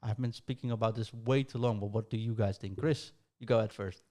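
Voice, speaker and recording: A man's voice: on the low side, fairly even intonation, a little fast, warm tone. A man with a Dutch accent hosting a podcast, laying out show plans in a rambling, casual way with many fillers. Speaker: podcast host.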